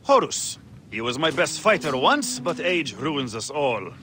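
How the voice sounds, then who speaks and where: An actor playing a jaded, crude gladiator arena master in a video game, with a sardonic, theatrical, dismissive way of talking. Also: male voice, a little high, sing-song, measured pace, pushed and bright, a little hiss or hum, exaggerated voice. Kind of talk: video game character acting.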